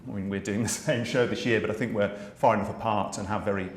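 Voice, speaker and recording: A low-voiced middle-aged British man talks through his pantomime work in a relaxed, halting way, full of restarts and fillers. The room is echoey, and faint noise sits behind him. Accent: British English. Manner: hesitant, many restarts and fillers. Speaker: panto writer, director and dame. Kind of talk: relaxed interview chat.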